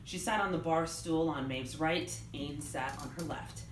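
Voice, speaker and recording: A woman author giving an expressive live reading of her novel to a room. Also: low-pitched, a little slow. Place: indoors in a bookstore. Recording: roomy live event, background noise. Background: room ambience, clear background noise.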